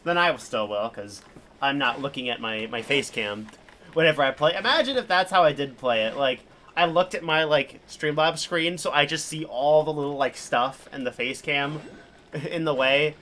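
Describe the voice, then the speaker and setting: A man's voice: a little high, moderate pace, bright, forceful tone. A man giving casual live commentary as a game streamer, rambling and self-deprecating. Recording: livestream with faint game music.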